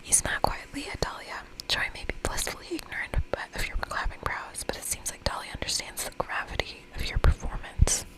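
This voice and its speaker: A woman whispering in a breathy, gentle, reassuring and chatty way as she hosts an ASMR game playthrough. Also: a little fast, hardly any pauses, low-quality recording. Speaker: host of an ASMR gaming channel.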